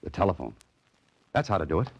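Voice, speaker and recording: An American actor playing a cool, wry amateur detective, talking dryly and tersely in 1940s radio drama style. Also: male voice, deep voice, big pitch swings, fast, creaky, dull audio, exaggerated voice. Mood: tense, self-coaching. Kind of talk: 1940s radio drama acting with self-narration. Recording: vintage radio drama, music underneath.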